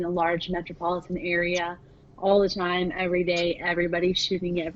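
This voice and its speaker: A woman's voice: fairly low pitch, highs cut off. A woman who works as a nurse, a podcast guest explaining her views somewhat hesitantly. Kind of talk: casual podcast chat.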